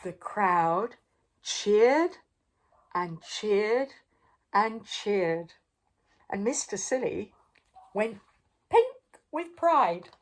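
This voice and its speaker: Slow, highly animated older female storyteller. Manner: expressive, asks the listener questions, dramatic pauses. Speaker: storyteller reading a children's book. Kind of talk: animated read-aloud to young children.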